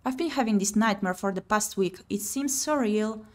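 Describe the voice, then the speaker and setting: A woman's voice: mid-range voice, normal speed, a little mellow. A woman streamer playing a visual novel, reading the game text aloud expressively with her own commentary. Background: game music.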